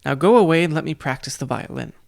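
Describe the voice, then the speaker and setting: A man's voice: on the high side, expressive, fast talker, gravelly, no echo, clean studio sound. Man putting on a pompous, sneering vice principal. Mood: dismissive. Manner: exclaiming, sneering, jeering. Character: pompous, mocking, dismissive male school vice principal with shrill giggle.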